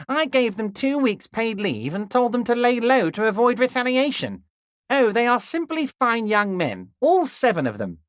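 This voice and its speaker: Game role: smug, crooked male union boss. Unctuous fake bonhomie, very lively intonation, dry studio sound. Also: very high-pitched, a little slow, no room sound, heavily acted. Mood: smug, confiding. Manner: unctuous, falsely friendly, theatrical. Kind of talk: video game character dialogue.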